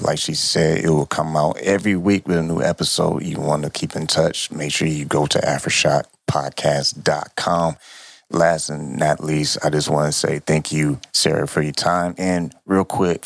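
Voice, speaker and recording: Interviewer: man with a very low, level voice, conversational, recorded dry. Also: vocal fry, dry recording. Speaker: interviewer, host.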